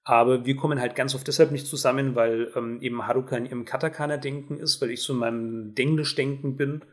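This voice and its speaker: Guy podcast host, mid-pitched voice, explains stuff casually. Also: fairly even intonation, fast.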